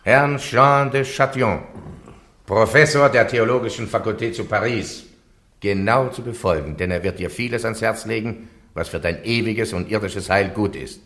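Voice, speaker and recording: A man playing a gruff trial official barking orders. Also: fairly low pitch, moderate pace, a bit bright, natural voice. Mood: formal. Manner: formal, then barking orders. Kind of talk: 1950s-60s German radio drama.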